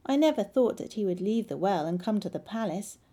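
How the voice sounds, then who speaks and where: A woman's voice: medium pitch, expressive, a little fast, warm tone, close to an everyday voice. A woman voicing a frightened young princess in a storybook. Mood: pleading.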